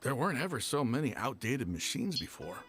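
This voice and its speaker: A man's voice: medium pitch, big pitch swings, brisk, a bit bright. A man voicing an offhand, chatty townsman in a game, speaking over faint music. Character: offhand male townsman, gossipy and shrugging. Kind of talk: game character dialogue.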